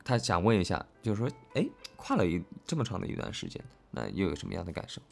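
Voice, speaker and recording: A man's voice: deep voice, wildly swooping pitch, fast talker, gravelly, darkish tone, soft background music. A man speaking as a voice actor in an informal, unscripted interview, thinking aloud and often repeating phrases. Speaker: voice actor, reading questions and answering. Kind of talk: casual, unscripted interview chat.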